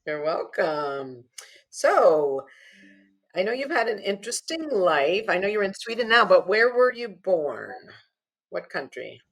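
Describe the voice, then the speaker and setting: A woman's voice: mid-range voice, very animated, unhurried, a bit bright. A woman hosting an interview podcast, chatty, stacking up several questions at once. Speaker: podcast host. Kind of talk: casual interview.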